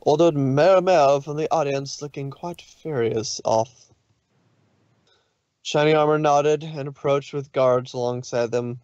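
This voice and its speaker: A man's voice: a little high, unhurried, a little mellow, dry recording, muffled recording. A man narrating fan fiction in a dramatic storytelling way, sometimes stumbling over his words.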